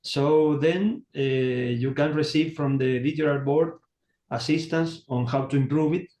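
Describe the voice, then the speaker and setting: A man on an online call, speaking haltingly and searching for words in English. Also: a little high, fairly even intonation, darkish tone. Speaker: community contributor. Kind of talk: online meeting talk. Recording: roomy echo.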